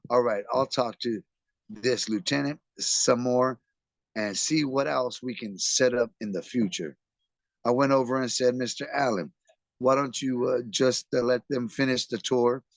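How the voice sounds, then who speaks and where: A hoarse man with a raspy voice, reading a memoir aloud to a book club. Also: mid-range voice, dry recording.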